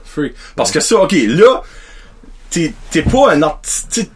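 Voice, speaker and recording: Young guy hosting a podcast, husky voice, pitch jumps around a lot. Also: takes it slowly. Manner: animated, mixes English words into French. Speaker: podcast host. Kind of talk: casual podcast chat.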